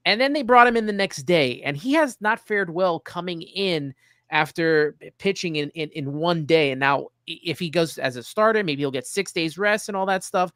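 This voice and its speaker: A man's voice: on the high side, lively intonation, normal speed, bright, forceful tone, clean tone, no echo, clean studio sound. A man hosting a casual baseball discussion, giving his opinions in an earnest, conversational way. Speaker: sports show host. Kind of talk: casual sports talk.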